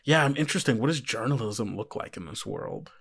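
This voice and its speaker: A man with a medium-pitched voice runs a tabletop game in a casual, conversational way. He works out ideas as he speaks, tentatively, and keeps tossing questions to the other player. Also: expressive, fairly quick, fairly bright tone, slightly rough. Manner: thinking aloud, tentative, often asks questions. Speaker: game master of an actual-play podcast.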